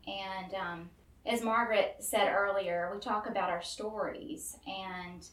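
A woman's voice: fairly low pitch, a bit bright. Nervous woman in an echoey room. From Memphis, Tennessee, US. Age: 30s. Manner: nervous, earnest, laughs often. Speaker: guest storyteller. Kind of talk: personal testimony to a live audience. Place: room with a live audience. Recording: roomy and distant with faint room noise.

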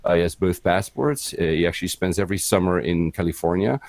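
Guest: middle-aged Swiss man, French native speaker, very low voice, dry close sound, loose rambling delivery. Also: animated, quick pace, darkish tone, dry recording. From Switzerland. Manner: rambling, many fillers and restarts. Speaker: podcast guest. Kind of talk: casual chat on a live stream.

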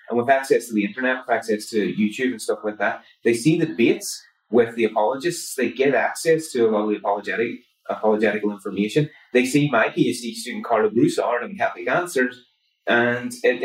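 A man with a Northern Irish accent speaks as an academic guest, building his points in an earnest, steady way. His voice sits at a middle pitch. He sounds set back from the microphone, with a roomy echo around him. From Northern Ireland. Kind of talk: conversational interview answer. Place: conference venue. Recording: roomy, from far off mic.

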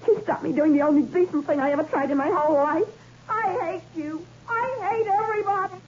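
A woman's voice: extremely high pitch, fairly quick, a little mellow. A tinny, slightly hissy old radio recording carries a bitter young woman criminal. She is melodramatic and world-weary, full of self-loathing, in the manner of 1960s suspense drama. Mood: hysterical, hateful.

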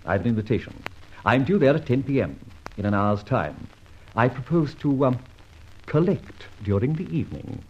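An Australian actor playing a suave, playful gentleman thief in a 1950s radio drama, brisk and theatrical. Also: medium pitch, expressive, slightly rough. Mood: scheming, playful. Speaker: lead character. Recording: dull old radio recording, faint hiss.

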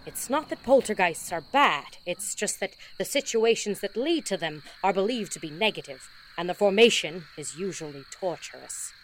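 A woman's voice: lowish voice, lively intonation, moderate pace, hardly any pauses, a little hiss or hum, close to an everyday voice. A woman playing an earnest, fascinated paranormal investigator in an audio drama, explaining things like a documentary narrator. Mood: hushed wonder.

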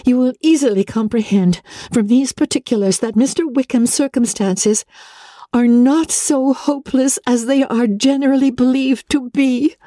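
A woman's voice: sits high, moderate pace, warm tone, dry recording, natural voice. Woman as an earnest, formal letter-writing uncle. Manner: formal, careful, businesslike. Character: earnest middle-class uncle reading out his letter.

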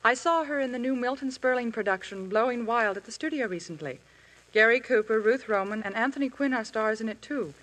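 Young actress reading scripted chat, polite and earnest, slightly high voice. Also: female voice, lively intonation, brisk, fairly bright tone, rough recording.

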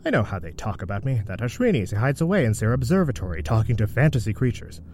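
A man's voice: medium pitch, very animated, fast, a little mellow, natural voice. A man playing a reclusive, bitter, scheming moonbase scientist in a sardonic audio drama monologue. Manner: sardonic, theatrical brooding. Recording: faint noise and ambience.

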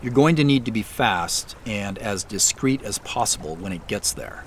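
A man's voice: on the low side, expressive, moderate pace, slight background noise. An actor playing a wry, beleaguered office man on the run, narrating his own audio drama in the first person with understated, muttered asides. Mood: muttered, dry. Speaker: actor narrating as main character.

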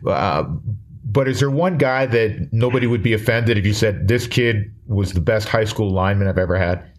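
A man's voice: lowish voice, normal speed. A man interviewing casually about sports, working his way to the point in rambling, roundabout sentences.

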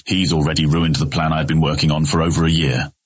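Male narrator as ruthless fantasy guild boss, very deep, husky, clipped, sarcastic, seething. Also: flat delivery, quick pace. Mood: scornful anger. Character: furious, ruthless male guild leader, cold and scornful. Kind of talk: fantasy audiobook character acting.

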